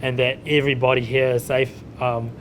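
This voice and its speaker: A man's voice: mid-range voice, level tone, fairly quick, a bit bright, a little hiss or hum, rough recording. A man in his thirties with a New Zealand accent, telling his story informally in an interview as a chef, often saying "you know". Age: 30s. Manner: conversational, frequent "you know". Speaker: chef and restaurant owner being interviewed.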